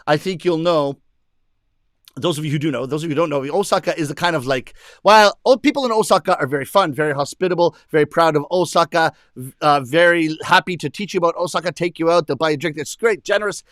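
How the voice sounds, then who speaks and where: Casting note: animated comedian, male, high voice, dry close sound, bursts into put-on shouts. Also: no echo. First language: English. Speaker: rakugo comedian. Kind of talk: stand-up storytelling to an audience.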